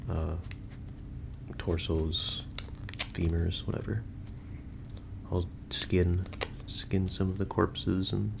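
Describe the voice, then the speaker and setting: Man acting as easygoing corpse stitcher, very low gravelly voice, rambling and matter-of-fact, audio roleplay, noisy home recording. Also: full character voice. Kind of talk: casual roleplay monologue to a listener.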